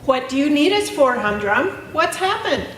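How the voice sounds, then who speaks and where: A woman's voice: sits high, sing-song, normal speed, fairly bright tone. A woman playing a pragmatic village elder in readers' theater, heard from far off in a very echoey hall. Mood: curious. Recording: very echoey hall, distant mic, noise.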